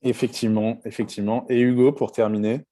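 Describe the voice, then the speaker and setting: A man with a French accent hosting a live panel webinar. He speaks in a relaxed, hesitant way, with frequent fillers and restarts. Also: medium pitch, quick pace. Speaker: webinar host.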